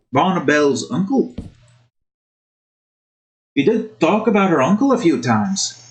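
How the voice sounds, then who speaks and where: A man's voice: on the high side, a bit bright, low-quality recording. A man hosting a game video with casual, rambling commentary in a put-on Scottish accent that wavers. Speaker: gaming video host. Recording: faint background noise.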